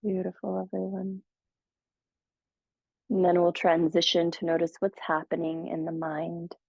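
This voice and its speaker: A woman guiding a meditation, speaking slowly and gently with soothing pauses. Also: on the low side, level tone, no room sound. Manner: slow, gentle, soothing guidance with long pauses. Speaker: meditation teacher. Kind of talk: guided meditation. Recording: dull, muffled audio.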